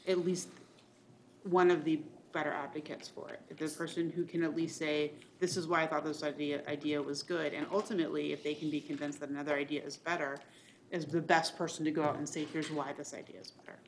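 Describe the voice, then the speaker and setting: A woman's voice: low-pitched, little pitch movement, a little fast. A woman board member deliberating earnestly and emphatically at a public meeting. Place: public meeting room, indoors. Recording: noisy meeting room recording.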